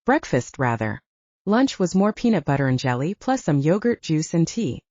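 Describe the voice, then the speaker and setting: A woman's voice: lowish voice, very animated, normal speed, dark tone, dry recording. A woman narrating an audiobook, reading scripted fiction. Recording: dull, lo-fi, narrow sound.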